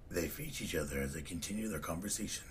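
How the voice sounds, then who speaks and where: A man's voice: sits low, fast, breathy edge. A man reading scripted, descriptive narration for a comic dub.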